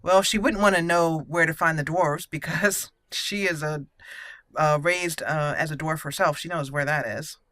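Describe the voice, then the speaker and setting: A woman hosting a role-play game playthrough, speaking deliberately and theatrically in character, with casual asides. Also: low-pitched, a bit bright, no room sound. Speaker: gaming channel host.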